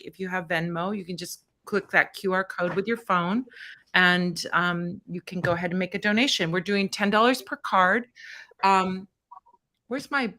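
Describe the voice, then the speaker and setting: Brief: woman host of a live tarot stream, bright voice, chatty and encouraging. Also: mid-range voice. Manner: chatty and encouraging, thinks aloud while fumbling with the stream setup. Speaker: tarot reader hosting a fundraiser stream.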